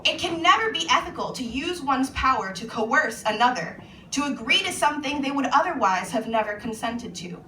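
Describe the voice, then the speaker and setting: A woman with an American accent, an author reading her own monologue aloud at a live event in a measured, earnest way. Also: sits high, animated, pushed and bright. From United States. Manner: measured, earnest spoken-word delivery. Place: event venue indoors with an audience. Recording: echoey room, voice far from the mic, faint noise.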